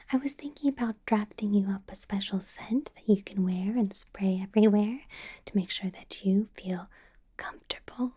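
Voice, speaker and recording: A possessive, money-minded vampire boss played by a woman murmurs in a breathy whisper, slow and unhurried. The tone is soft and mellow, coaxing and doting, in the close style of an ASMR roleplay. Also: mid-range voice. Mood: coaxing, businesslike.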